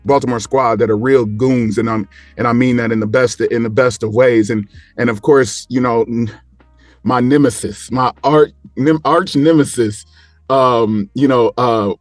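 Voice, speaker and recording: Guy chatting sports on a panel, kinda rambly, mid pitch, faint music under him. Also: steady tone, a little slow, no room sound. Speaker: guest panelist. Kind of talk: casual sports talk.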